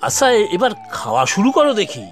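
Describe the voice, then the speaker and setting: Man acting a lively, higher-voiced kindly father-in-law. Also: fast. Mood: encouraging. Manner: coaxing, reassuring. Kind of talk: animated family cartoon dialogue. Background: soft background music.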